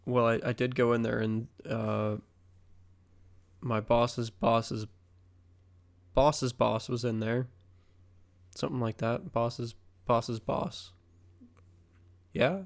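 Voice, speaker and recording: Young man, stuffy congested nose, laid-back joking podcast chat, mid-pitched voice. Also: fairly even intonation, slow pace, gravelly, pauses often. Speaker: podcast co-host. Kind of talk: casual chat.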